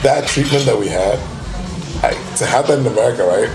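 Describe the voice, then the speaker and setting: A man's voice: medium pitch, brisk, creaky, music in the background. A man from Nigeria with a Nigerian accent, telling a story in casual chat and acting out the quotes. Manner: animated storyteller, acts out quotes. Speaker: guest. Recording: roomy echo.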